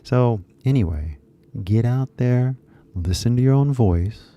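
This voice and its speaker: A man's voice: medium pitch, expressive, slow pace, mellow, lo-fi sound. A man in his thirties chatting casually on a live stream, rambling with lots of fillers and switching into Japanese at times. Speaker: English teacher YouTuber.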